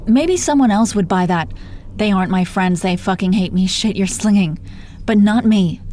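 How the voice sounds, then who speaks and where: A woman's voice: mid-range voice, moderate pace, darkish tone, lightly acted. A woman playing a teasing, protective female supervillain in her thirties in an intimate roleplay audio drama, foul-mouthed but coaxing, full of pet names. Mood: firm, knowing. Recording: noticeable background noise.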